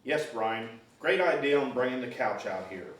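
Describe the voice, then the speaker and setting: A man's voice: mid-range voice, lively intonation, normal speed, a bit bright, close to an everyday voice. A man reading a joking, needling fishing buddy at a screenplay table read. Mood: jokey. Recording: echoey room, distant mic, light noise.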